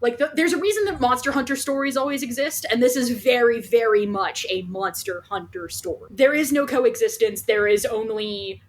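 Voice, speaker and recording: A woman co-hosting a podcast in animated casual discussion, talking emphatically and swearing freely. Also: a little high, moderate pace, hardly any pauses. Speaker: podcast co-host.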